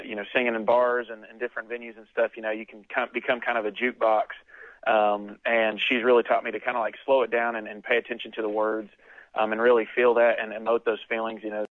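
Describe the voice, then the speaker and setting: A man calling into a radio show as a singing contestant. He talks in a relaxed, thoughtful way. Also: medium pitch, no room sound. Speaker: singing contestant, phone guest. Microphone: telephone. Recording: tinny phone line.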